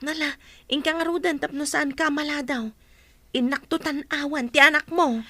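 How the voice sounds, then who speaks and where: A woman playing a caring, sympathetic friend in a radio drama, expressive and conversational. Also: sits high, moderate pace, no room sound.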